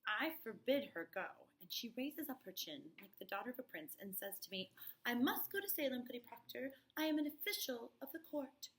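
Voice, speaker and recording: A woman's voice: a little high, animated, fairly quick, fairly bright tone, voice pushed up, rough recording. A woman voicing a hesitant, anxious farm wife as she reads a play aloud.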